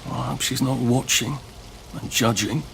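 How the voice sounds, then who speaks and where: A man's voice: mid-range voice, steady tone, a little slow, vocal fry, noisy background. An actor playing a sly, anxious, bluffing man with an English accent and a dry, polite, hesitant manner. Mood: uneasy.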